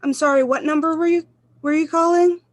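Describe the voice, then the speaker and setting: A woman playing a polite, brisk telephone operator in a suspense radio play, with formal, sing-song courtesy. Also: high-pitched, fairly even intonation, dry recording, natural voice.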